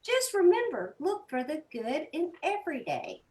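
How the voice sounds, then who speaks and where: A woman voicing a wise old owl in a children's picture book, measured and advising. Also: high voice, huge pitch swings, a little mellow. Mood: kindly, advising. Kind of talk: children's read-aloud. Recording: roomy echo.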